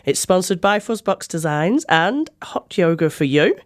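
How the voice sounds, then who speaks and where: A woman radio host with a New Zealand accent talks in a casual, chatty way. Her voice is a little croaky as she gets over an illness. She speaks into a studio microphone. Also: on the low side, very animated, measured pace. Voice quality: slightly croaky. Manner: chatty, informal.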